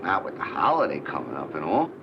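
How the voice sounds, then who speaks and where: A drawling, stonewalling supplier clerk. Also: male voice, a bass voice, wildly swooping pitch, fast talker, full character voice. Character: evasive supplier clerk who keeps refusing. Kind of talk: 1980s training film acting. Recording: muffled film soundtrack with hiss.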